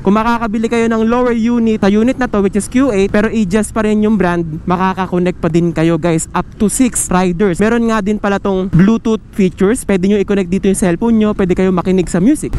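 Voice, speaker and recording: A Filipino man with a high-pitched voice talks in a casual, chatty vlog style. He addresses his viewers directly as he reviews gear. Traffic and vehicle noise can be heard around him outdoors. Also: darkish tone. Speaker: motorcycle vlogger and product reviewer. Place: outdoors on a motorcycle in city traffic.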